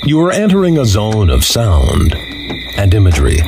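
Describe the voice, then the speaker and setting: A man's voice: lowish voice, wildly swooping pitch, moderate pace. A man delivering a dramatic scripted radio show opening in the manner of a vintage sci-fi anthology. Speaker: show intro announcer. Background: loud music.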